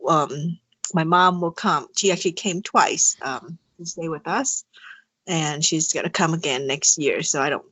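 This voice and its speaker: A Thai-accented woman talks in a low-pitched voice, and her English is her second language after Thai. She speaks haltingly, with plenty of fillers, restarts and frequent laughs. The sound is dry and close, with little room to it. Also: fairly bright tone, breathy edge, no room sound. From Thailand. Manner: laughs often between phrases. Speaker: podcast guest. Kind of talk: casual interview conversation.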